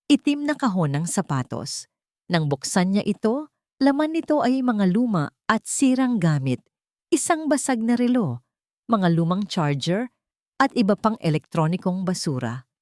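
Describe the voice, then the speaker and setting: A woman with a Filipino accent reading a scripted love story as a narrator, in a dramatic, deliberate way. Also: on the low side, a little mellow, no room sound, clean studio sound.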